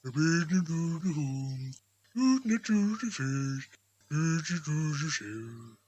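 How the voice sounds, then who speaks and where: Storybook spirit role: huge, merry giant acted by a woman, booming and hearty, grand declamation, widely swung pitch. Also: expressive, takes it slowly, darkish tone, slight background noise.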